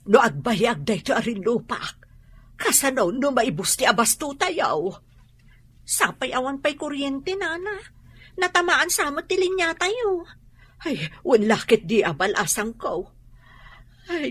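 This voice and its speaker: A woman's voice: sits high, a bit bright, husky, heavily acted. A woman with an Ilocano accent playing a worried, motherly older woman sheltering from war in a radio drama. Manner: fretting, exclamatory.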